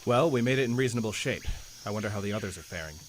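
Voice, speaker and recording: A man playing a confident, wry superhero team leader in an audio drama, crisp and commanding. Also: lowish voice, expressive, very fast, a little hiss or hum, lightly acted. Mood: brisk. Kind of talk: fan audio drama acting.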